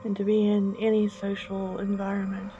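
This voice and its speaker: A woman narrating a scripted horror story in a slow, hushed, suspenseful manner with long deliberate pauses. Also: medium pitch, completely flat delivery, a little hiss or hum. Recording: dull lo-fi, music bed behind. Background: background music.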